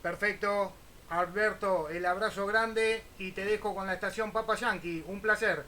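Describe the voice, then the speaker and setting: High-pitched Argentine man on ham radio. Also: fairly even intonation, moderate pace, bright, forceful tone. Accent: Buenos Aires Argentine Spanish. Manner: spells call signs in phonetic alphabet. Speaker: amateur radio operator, channel host. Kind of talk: casual ham radio contact. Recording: faint noise behind voice.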